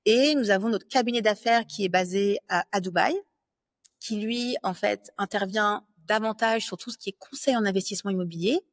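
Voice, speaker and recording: A confident French woman in her thirties speaks as an interview guest, explaining her work at a steady medium pitch. She talks fluently but often pauses on fillers and restarts her phrases. The sound is close and dry. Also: no room sound. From France. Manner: fluent, self-assured, many fillers and self-corrections. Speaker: business lawyer guest. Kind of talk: interview conversation.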